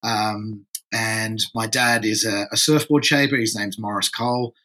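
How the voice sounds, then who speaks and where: A man's voice: on the low side, steady tone, measured pace, bright, forceful tone. A man in his thirties from France, a podcast guest, talking casually and repeating words as he gathers his thoughts. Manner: rambling, repeats words while thinking. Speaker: podcast guest, surf environmentalist.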